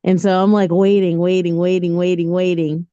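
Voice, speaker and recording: Warm-toned woman telling a lively story. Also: on the low side, moderate pace, clean tone, no room sound, lo-fi sound. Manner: animated storyteller, mimics people. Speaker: guest. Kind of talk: casual anecdote telling.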